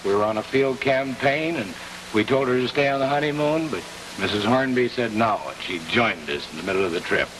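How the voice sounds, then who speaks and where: An American man answering as an executive guest in a 1950s television interview, in earnest, measured short phrases. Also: mid-range voice. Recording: distant, noisy 1950s television broadcast.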